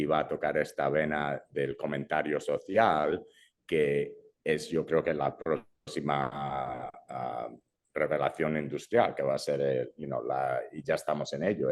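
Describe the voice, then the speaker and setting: Very deep-voiced man, hesitant and reflective. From Brazil, raised in Madrid. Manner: sprinkles English fillers, hesitant restarts. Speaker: filmmaker guest. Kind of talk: casual interview chat.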